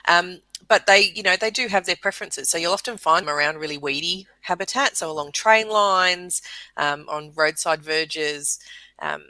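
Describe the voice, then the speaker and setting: A woman's voice: on the low side, normal speed, sharp tone, no room sound. An Australian woman, a bird conservation guest on a radio show, explaining with frequent fillers and repeated words. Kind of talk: casual radio interview.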